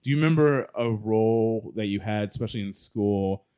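A woman's voice: extremely low pitch, moderate pace, warm tone, smooth. A woman interviewing a guest in a casual chat, asking questions and teasing playfully along with her.

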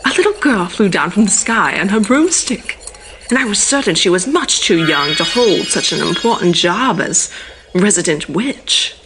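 A woman voicing a chatty, nostalgic elderly townswoman with a fussy, exclaiming manner in an animated film fandub. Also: medium pitch, moderate pace, over music, close to an everyday voice, low-quality recording. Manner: fussy, exclaiming old-lady delivery.

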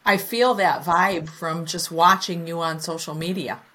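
A woman's voice: on the low side, fairly even intonation, measured pace, a bit bright. A woman hosting a casual interview over a video call, speaking with enthusiasm and some fillers. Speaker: podcast host.

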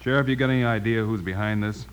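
An actor playing a calm, decisive, heroic lawman with firm, plain-spoken talk in a vintage radio Western. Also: lowish voice, fast talker, clear, resonant tone, natural voice. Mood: probing. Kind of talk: 1950s radio Western acting. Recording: very noisy old radio recording, clicks.

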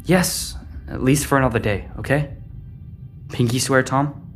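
A man's voice: mid-range voice, measured pace, soft background music, natural voice. A man playing a troubled, short-tempered but protective teenage boy in a sci-fi audio drama, guarded and terse. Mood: irritated, firm.

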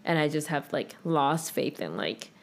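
A woman's voice: sits low, sing-song, a little slow, creaky, a little hiss or hum. A young woman co-hosting a podcast, chatting casually and rambling with lots of "like". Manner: rambling, heavy use of "like". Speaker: podcast co-host. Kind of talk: casual chat.

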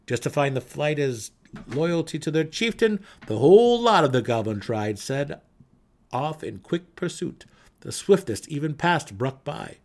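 A man's voice: on the high side, expressive, unhurried. A man narrating a fantasy story in a dramatic reading style.

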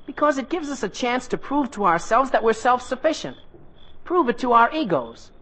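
A man's voice: very high-pitched, very animated, moderate pace, sharp tone, full character voice. An actor playing a cheery, stubborn American teenage boy, eager and boastful, in 1940s radio comedy style. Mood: insistent. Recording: noisy old radio recording.

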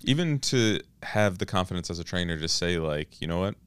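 A man's voice: low voice, animated, a little fast, smooth, no echo. A man hosting a casual interview podcast, affirming and summing up in a conversational way. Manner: affirming, sums up what the guest says. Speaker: podcast host.

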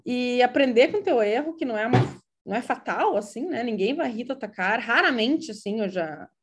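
A woman's voice: a little high, animated, brisk, background noise. A woman from Brazil with a gaúcho accent, talking casually and hesitantly, full of fillers and restarts. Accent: Gaúcho Brazilian Portuguese. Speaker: interviewee. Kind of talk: casual conversational account.

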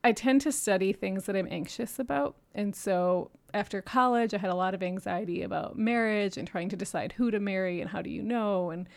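A woman's voice: medium pitch, brisk, creaky, hardly any pauses. A woman in her thirties telling her personal story as a podcast guest, earnest and reflective, laughing often between thoughts. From Japan and New York City. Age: 30s.

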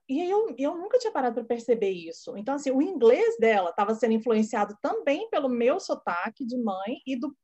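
Woman host with a slightly high voice. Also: warm tone, highs cut off. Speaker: interview host. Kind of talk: conversational interview.

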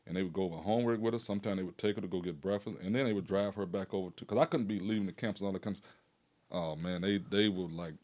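Fast-talking older man, low-voiced. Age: 60s. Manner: animated, rambling, laughs often. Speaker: retired PE teacher and guest. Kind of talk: casual storytelling conversation.

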